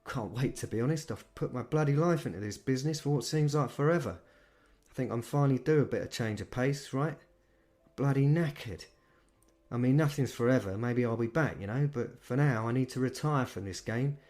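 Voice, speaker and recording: A man's voice: mid-range voice, brisk, darkish tone, voice pushed up, close to an everyday voice. A man voicing a blunt, unafraid middle-aged gang manager with a London accent who swears a lot. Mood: chatty.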